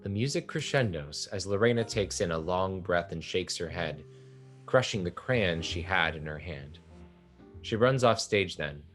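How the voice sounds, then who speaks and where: Very low-pitched male play narrator. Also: expressive, unhurried, fairly bright tone, soft background music. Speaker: narrator reading stage directions. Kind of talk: scripted narration of a stage play.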